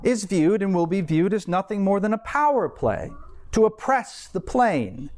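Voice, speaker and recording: A man delivers a sermon in a high voice that moves freely up and down. His phrasing is learned and essay-like, broken by dry, wry asides to his listeners. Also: big pitch swings, unhurried, darkish tone. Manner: erudite, formal wording with wry asides. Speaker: preacher. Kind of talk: scripted sermon, read like an essay.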